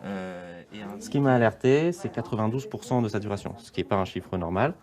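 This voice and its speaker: A man's voice: fairly low pitch, expressive, fairly quick, darkish tone. A man who is a general practitioner, explaining spontaneously in a professional way. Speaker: coordinating doctor, general practitioner.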